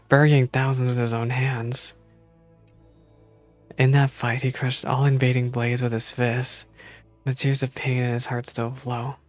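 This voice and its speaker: A man's voice: medium pitch, no echo. Game streamer man, faint music behind. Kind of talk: reading game story text aloud, casual reactions.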